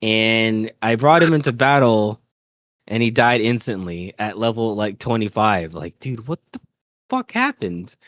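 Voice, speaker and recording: A man's voice: on the low side, very animated, measured pace, fairly bright tone, no room sound. Casual man with a dry, close sound. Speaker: podcast co-host. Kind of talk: casual chat about video games.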